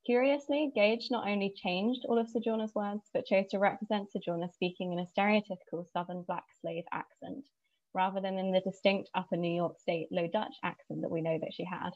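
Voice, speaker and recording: A woman giving a measured, earnest prepared talk about a historical figure, reading from notes. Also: medium pitch, steady tone, darkish tone, dry recording, muffled recording. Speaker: speaker presenting an inspiring woman. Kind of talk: prepared spoken tribute.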